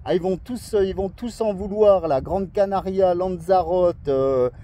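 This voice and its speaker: A man's voice: sits high, unhurried, warm tone. A man chatting casually and enthusiastically outdoors as an amateur radio operator, in a friendly way, sometimes searching for his words. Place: outdoors at a scenic coastal spot.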